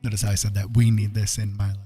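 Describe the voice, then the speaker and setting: A man hosting a casual livestream as he paints, talking in an easygoing, halting, rambling way. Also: fairly low pitch, level tone, mellow, breathy edge, no echo. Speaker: streaming digital artist and host. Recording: music playing under the voice. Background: background music.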